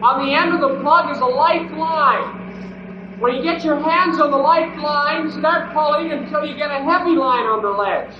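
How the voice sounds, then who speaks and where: An actor playing an eager, helpful young rescue-crew sidekick in an old-time radio adventure, with an earnest manner. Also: male voice, very high-pitched, moderate pace. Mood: urgent, reassuring. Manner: earnest, calling out instructions clearly. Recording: dull, echoey, noisy old radio recording.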